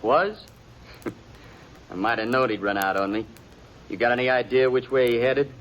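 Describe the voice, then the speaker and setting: A man's voice: a little slow, a bit bright, a little hiss or hum, close to an everyday voice. An actor playing a jittery young gunslinger who stammers as his voice climbs in panic, in a 1950s radio drama. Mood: wary. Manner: stammering, voice jumps high with fear. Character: jittery young gunslinger man, boastful, cracking under pressure. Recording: muffled old radio recording.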